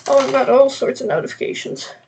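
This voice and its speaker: A woman's voice: sits high, steady tone, fast talker, creaky, background noise. A woman with a stuffed-up nose chatting casually and rambling on a live knitting stream, with many ums.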